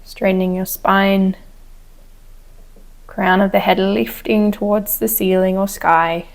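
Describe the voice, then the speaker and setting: A woman teacher leading a guided meditation with gentle, unhurried instructions. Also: medium pitch, flat delivery, vocal fry. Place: outdoors on a wooden deck. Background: chickens and a rooster.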